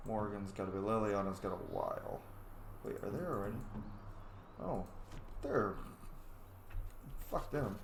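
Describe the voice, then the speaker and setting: A man hosting a let's play, commenting casually and excitedly, swearing and humming along as he plays. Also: on the low side, unhurried, pauses often, slight background noise. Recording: echoey room. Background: game music.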